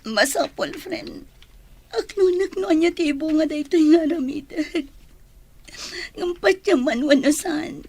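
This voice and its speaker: A woman's voice: high-pitched, lively intonation, a little slow, long pauses, a little mellow. An actress playing a troubled young woman caught in a love triangle, earnest and emotional in a broadcast radio drama. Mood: resolute. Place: radio studio.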